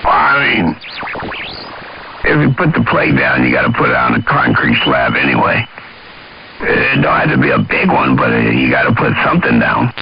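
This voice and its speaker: American man, CB operator, folksy and informal, very gravelly with lively swings in pitch, hissy radio signal. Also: moderate pace, dull audio, faint music behind. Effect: CB radio transmission. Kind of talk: casual radio chat.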